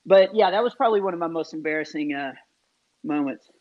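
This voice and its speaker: A man, a comedian guest in an interview, telling self-deprecating stories in an animated, casual way with many fillers. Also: a little high, quick pace. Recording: dull lo-fi sound.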